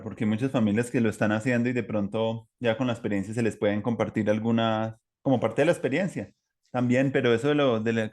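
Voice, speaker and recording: A man's voice: mid-range voice, a little mellow. Colombian man hosting a conversational podcast. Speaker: podcast host. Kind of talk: conversational interview, reflective commentary.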